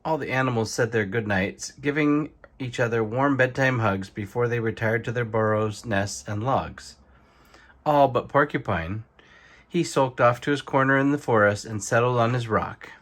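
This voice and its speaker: A man reading a children's bedtime story aloud in an expressive narrating style. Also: medium pitch, moderate pace. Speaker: storybook reader.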